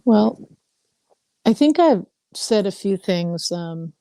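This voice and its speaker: A woman's voice: mid-range voice, expressive, slow pace, warm tone, no room sound. A woman in her fifties from Hawaii, candidly sharing her story in a casual group call, with many fillers. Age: 50s. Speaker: participant.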